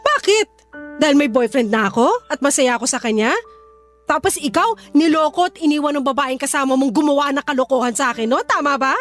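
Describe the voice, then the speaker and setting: A woman's voice: high voice, expressive, fairly quick. A woman playing a hurt, indignant young woman in a radio drama, scolding and emphatic, with a Filipino accent. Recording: music behind the voice.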